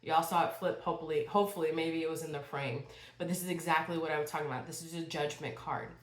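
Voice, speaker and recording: Low-voiced woman reading tarot, echoey room. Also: steady tone. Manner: slow, spiritual, addresses viewers directly. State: slight cold, sniffling. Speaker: tarot reader.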